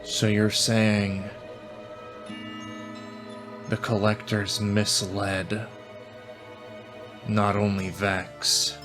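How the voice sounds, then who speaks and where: A man plays a guarded, stern negotiator in a tabletop game. The questions come low, formal and very deliberate, with music clearly playing underneath. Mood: stern, probing. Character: stern, guarded negotiator, quietly angry and probing. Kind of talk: tabletop roleplay character acting.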